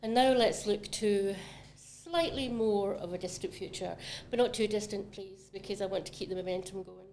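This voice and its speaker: A woman's voice: mid-range voice, normal speed, hardly any pauses, a little mellow, slight background noise. A woman from Scotland with a Scottish accent, talking about retail property in a casual podcast conversation, enthusiastic and full of ums. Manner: chatty, enthusiastic, many ums. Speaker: commercial property manager.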